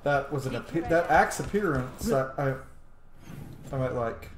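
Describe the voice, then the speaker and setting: A man's voice: medium pitch, animated, unhurried. A man giving chatty, casual video game commentary, thinking aloud and swearing now and then. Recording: roomy echo, light background noise.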